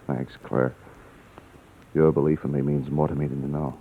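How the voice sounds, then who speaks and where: Brief: troubled fugitive, earnest, very low voice, old radio play, narrow tinny sound. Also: male voice, flat delivery, moderate pace, creaky, slight background noise. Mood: subdued, sincere. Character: earnest, troubled man on the run, hiding his past. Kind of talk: 1940s-50s radio drama acting.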